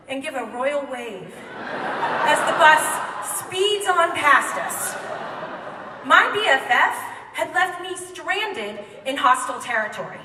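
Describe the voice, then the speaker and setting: A woman telling a comic true story live on stage, wry and quick to laugh, recorded in a hall by a microphone set back from her. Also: high voice, pushed and bright, slight background noise. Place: theater stage before an audience. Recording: echoey hall, mic from far off.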